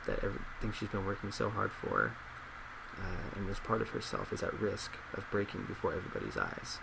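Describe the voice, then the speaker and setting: Male, twenties, ASMR psychologist role play: heavy vocal fry, reflective delivery, loud fan noise. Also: deep voice, brisk. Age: 20s. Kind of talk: soft-spoken ASMR role play, reading responses and reflecting. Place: small indoor office at a desk. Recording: steady loud laptop fan hiss.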